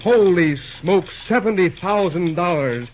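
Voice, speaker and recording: An actor playing a harried, exasperated young railroad superintendent in an old-time radio serial, exclaiming, grumbling and stammering. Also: male voice, high-pitched, lively intonation, measured pace, noisy background. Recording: dull old radio-show recording.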